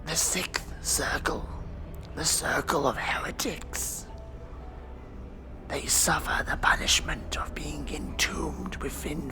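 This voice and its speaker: A weary demon judge of hell, acted out by a performer, speaking in a slow, deliberate whisper with a gravelly creak. The delivery is dry and deadpan, like a horror ASMR role-play for a new trainee. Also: medium pitch. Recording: music behind close whispered voice. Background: music.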